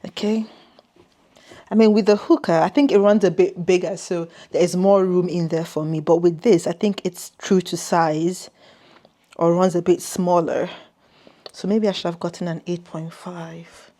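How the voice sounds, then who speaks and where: A woman's voice: on the low side, steady tone, normal speed, dark tone. A woman from Nigeria chatting casually to her vlog viewers in an exclamatory, conversational way. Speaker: vlogger. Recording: clear ambient and vehicle noise.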